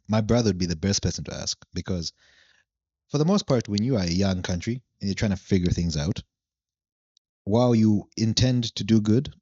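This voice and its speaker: A young man from Zambia, a podcast guest, explaining his background at length in a relaxed chat. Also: deep voice, breathy edge, dry recording, lo-fi sound. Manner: relaxed storytelling, long explanations. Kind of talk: casual chat.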